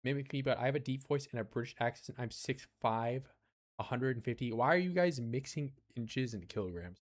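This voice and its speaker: A man's voice: mid-range voice, brisk, no echo, studio quality. A young man streaming a survival game, rambling and joking with his chat in a casual way. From United States. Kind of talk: casual gameplay commentary.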